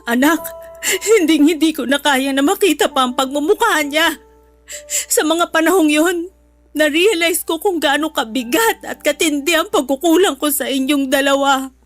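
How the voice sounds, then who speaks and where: Woman acting anguished, high-voiced Filipino mother. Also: normal speed, soft background music, lightly acted. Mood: tearful, remorseful. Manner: tearful, earnest, pleading delivery. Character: anguished, protective middle-aged Filipino mother of two sons. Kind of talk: emotional Tagalog radio drama acting.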